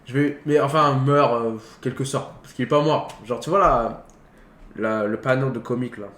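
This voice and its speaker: Male co-host, loose slangy film chatter, medium pitch, echoey room with slight noise. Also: normal speed, a bit bright. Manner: informal, slangy, rambling with half-finished thoughts. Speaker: co-host of a film talk show. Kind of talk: casual film debate between friends.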